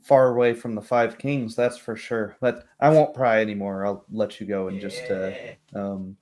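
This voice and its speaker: A man's voice: fairly low pitch, level tone, normal speed, darkish tone, natural voice. A man voicing a kindly, gossipy female barkeep in a fantasy tabletop game, chatty and hesitant. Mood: confiding.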